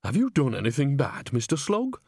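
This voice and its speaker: A man's voice: medium pitch, wildly swooping pitch, normal speed, dark tone, husky, dry recording, studio quality, voice pushed up, full character voice. A man voicing an earthy, cheeky and rebellious old witch woman in an audiobook.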